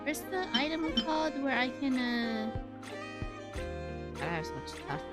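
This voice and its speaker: A woman's voice: high-pitched, sing-song, unhurried. A woman streamer giving casual, chatty gaming commentary with a playfully sarcastic manner. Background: loud game music.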